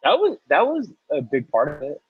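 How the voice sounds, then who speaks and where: A man's voice: on the high side, huge pitch swings, moderate pace, breathy edge, no room sound, phone-quality sound. A man in his thirties, a guest in a casual interview, rambling through his story with restarts and small laughs. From United States. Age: 30s. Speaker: guest, coffee roaster and business owner.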